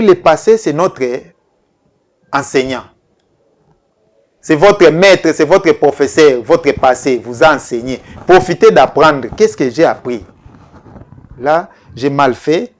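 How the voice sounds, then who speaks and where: Fiftyish male coach, slightly high voice, patient and insistent on TV. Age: 50s. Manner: slow, repetitive, earnest, addressing viewers directly. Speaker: life coach. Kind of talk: televised coaching talk.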